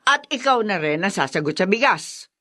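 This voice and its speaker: A woman's voice: mid-range voice, animated, moderate pace, sharp tone. An actress playing a stern, grudging middle-aged mother-in-law in a Filipino radio drama, bossy and scolding. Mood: demanding.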